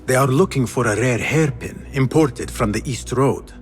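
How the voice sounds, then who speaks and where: An actor playing a guarded, determined young former thief, speaking in a terse, serious way in a video game. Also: male voice, mid-range voice, normal speed, lightly acted. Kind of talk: video game cutscene acting. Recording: music behind the voice.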